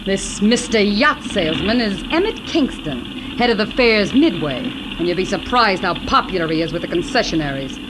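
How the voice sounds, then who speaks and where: A tough female daredevil played by a woman in old-time radio crime drama. She is curt and distrustful, with sharply varied intonation. The vintage recording is clearly noisy. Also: mid-range voice, very animated, normal speed, few pauses, lightly acted. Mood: curt, hostile. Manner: curt, hard-edged, challenging. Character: tough, suspicious female stunt parachutist at a fair. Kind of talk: 1940s radio drama acting.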